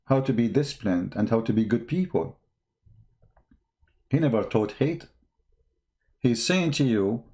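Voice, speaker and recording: A man with a mid-pitched voice gives impassioned, unscripted commentary on the news. He is not a native English speaker. He is emphatic, repeating key phrases and pressing his points with rhetorical questions. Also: a little mellow.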